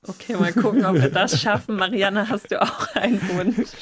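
A woman's voice: mid-range voice, moderate pace, husky. Woman hosting a panel interview. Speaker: host. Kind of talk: interview moderation.

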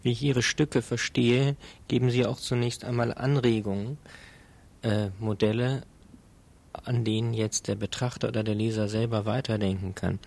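A male interviewer with an average-pitched voice puts formal questions. His delivery is measured and courteous, in the polite register of a prepared interview. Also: little pitch movement, creaky, darkish tone.

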